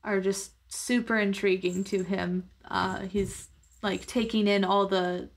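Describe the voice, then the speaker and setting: A woman's voice: mid-range voice, fairly even intonation, takes it slowly. Woman chatting as a tabletop player. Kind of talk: casual improvised game talk.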